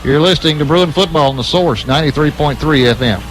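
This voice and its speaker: A man's voice: on the high side, fast talker, slight background noise, low-quality recording. A man giving live radio play-by-play commentary of a football game as the announcer.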